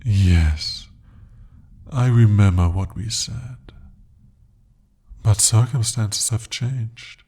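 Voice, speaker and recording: Young man as a possessive bound demon for ASMR: low, breathy, slow, dark and intimate. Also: exaggerated voice. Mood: jealous, coaxing. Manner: intimate, soft-spoken, slow and deliberate. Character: jealous, possessive male demon bound to a sorcerer. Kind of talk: ASMR roleplay.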